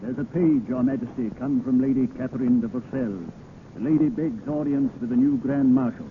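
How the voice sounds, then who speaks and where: A man's voice: medium pitch, fairly even intonation, brisk, natural voice. An actor playing a king's deferential, formal aide in vintage radio drama style. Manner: obedient, formal. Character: deferential, startled aide to a king. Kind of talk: 1940s radio acting. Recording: tinny, hissy old radio broadcast.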